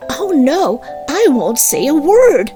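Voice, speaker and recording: A very high, swooping voice for a gossipy storybook peasant wife performed by a woman reader. She is excitable and wide-eyed, exclaiming in astonishment at everything, with music behind her. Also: takes it slowly, exaggerated voice. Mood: earnest promise.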